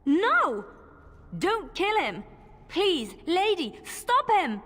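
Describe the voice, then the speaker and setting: A woman's voice: very high-pitched, sing-song, drawn-out words, a bit bright, exaggerated voice. A woman voicing a pleading, agitated forest creature in a fantasy game. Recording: long cavernous reverb.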